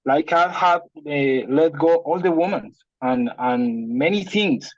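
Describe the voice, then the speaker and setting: A man with a Spanish accent, whose first language is Spanish, shares a personal problem haltingly and searches for his words. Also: fairly high pitch, dry recording, highs cut off. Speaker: participant sharing a personal problem.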